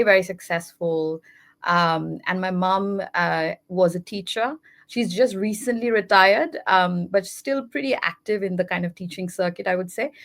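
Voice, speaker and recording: A woman from India talks about her upbringing as a podcast guest. She speaks in a middle register with a relaxed, chatty flow. She laughs easily between thoughts. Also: mid-range voice. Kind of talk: conversational interview.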